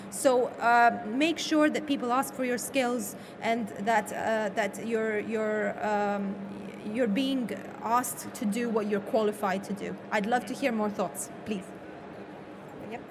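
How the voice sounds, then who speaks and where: A woman speaking as a panel moderator, emphatic and often repeating words for weight. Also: a little high. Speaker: session host and moderator. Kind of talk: panel discussion remarks. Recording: noisy live event room.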